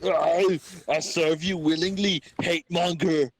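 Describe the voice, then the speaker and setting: Man doing a high-pitched henchman. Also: steady tone, takes it slowly. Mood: servile.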